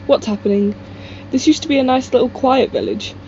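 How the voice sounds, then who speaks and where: A woman's voice: sits high, brisk, dark tone, highs cut off, slight background noise, lightly acted. A young woman playing a stubborn, plain-spoken daughter in an audio drama, pleading and questioning.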